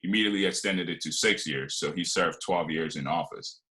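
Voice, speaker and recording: A man's voice: low-pitched, brisk, bright, forceful tone. A man in his twenties, originally from Liberia, telling his life story as a podcast guest in a loose, rambling way full of fillers and restarts. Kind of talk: casual conversational storytelling.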